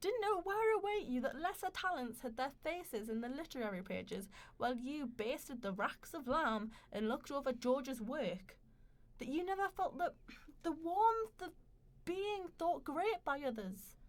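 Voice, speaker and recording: An actress as an ambitious young woman in a radio drama, the proud other woman. Her voice is high and swings widely in pitch. She argues with defiant passion and a cutting edge. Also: expressive, a little slow. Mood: impassioned. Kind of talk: radio play dialogue.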